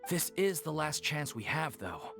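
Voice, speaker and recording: A man's voice: fairly high pitch, sing-song, unhurried, creaky, a bit bright, soft background music, heavily acted. A man playing a worried, stern but conciliatory theatre troupe leader in a video game. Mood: stern, resigned.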